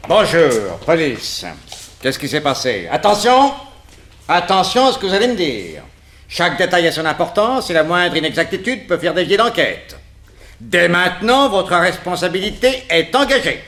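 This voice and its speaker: Pompous police inspector, swooping high voice. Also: male voice, sing-song, normal speed, fairly bright tone, heavily acted. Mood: officious, stern. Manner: pompous, self-important. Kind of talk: French radio detective drama acting.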